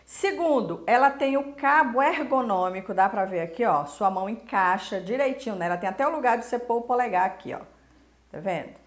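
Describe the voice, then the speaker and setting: A woman's voice: fairly high pitch, fairly quick. A Brazilian woman hosting a casual cooking lesson, chatty and emphatic as she talks straight to the viewer. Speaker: cooking show host. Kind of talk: casual instructional talk to camera. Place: small indoor kitchen. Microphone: lapel mic. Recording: roomy kitchen echo.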